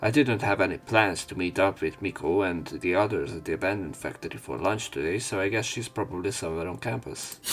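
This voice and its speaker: A man's voice: low-pitched, a little fast, hardly any pauses. A man doing a let's play, reading a visual novel aloud in an everyday voice and adding casual commentary. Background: game music.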